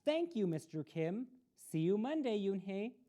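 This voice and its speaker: A woman's voice: mid-range voice, very animated, a little slow, dark tone, voice pushed up. A woman voicing an eager, earnest young schoolboy in a picture book. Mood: friendly.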